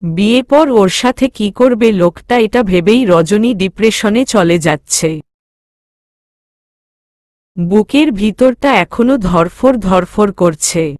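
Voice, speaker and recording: A woman's voice: mid-range voice, no echo, clean studio sound. A woman reading scripted narration of a romantic drama story as a storyteller.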